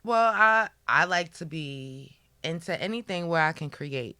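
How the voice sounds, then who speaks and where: A woman from the New York area, a podcast guest with a raspy voice, chatting in an animated, playful way. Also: low voice, unhurried, no room sound. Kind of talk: casual chat.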